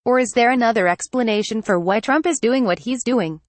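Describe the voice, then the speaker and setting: A woman narrating a scripted news report in a measured, formal read-aloud delivery. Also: on the high side, dry recording.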